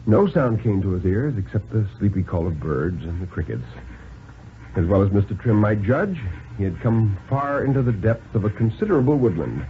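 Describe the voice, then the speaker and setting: A man narrates a story in dramatic 1950s radio style. His delivery is theatrical and deliberate, swinging widely in pitch for effect. The recording is a thin, band-limited vintage broadcast. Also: narrow, tinny audio, a little hiss or hum. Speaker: narrator reading a thriller story.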